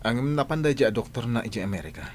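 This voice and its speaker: A man acting as an earnest adult son and boss in a radio drama, speaking in a measured way. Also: mid-range voice, very animated, slight background noise, natural voice. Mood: concerned. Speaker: actor.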